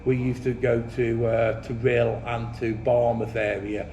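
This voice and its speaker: A man's voice: mid-range voice, little pitch movement, unhurried, dark tone, echoey room, highs cut off, a little hiss or hum. A man from the English Midlands hosting a casual chat, teasing his friends bluntly and laughing often. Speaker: channel host. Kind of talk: joking banter among friends.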